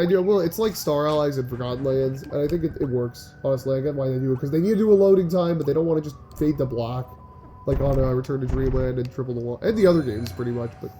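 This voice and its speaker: A young man giving casual, rambling gameplay commentary with a slightly nasal voice, often restarting sentences. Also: medium pitch. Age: young adult. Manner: rambling, chatty, frequent restarts and filler likes. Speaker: gaming channel host.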